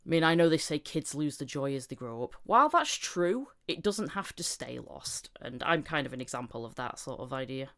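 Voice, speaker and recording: A woman's voice: a bass voice, very animated, brisk, few pauses, a bit bright. A woman in her thirties talking casually and candidly as a guest, telling her stories with acted-out quotes. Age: 30s. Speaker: voice actor, podcast guest. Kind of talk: casual chat.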